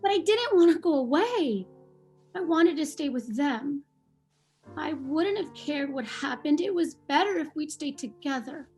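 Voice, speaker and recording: Woman as a high-voiced, stubborn, hurt little girl. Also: expressive, unhurried, darkish tone, faint music behind. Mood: hurt, insistent. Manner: plaintive, insistent. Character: Lorena, hurt, stubborn young Cuban immigrant girl. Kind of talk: dramatic play table read.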